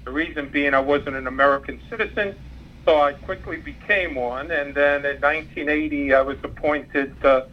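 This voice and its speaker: A man's voice: on the high side, fairly even intonation, moderate pace, a little hiss or hum. A man in his sixties, born in Cuba and a native Spanish speaker, speaking as an interview guest over the phone. He talks in a rambling way, with many fillers and restarts. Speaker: former undercover FBI agent, guest. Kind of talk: interview, recounting career. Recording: muffled phone line.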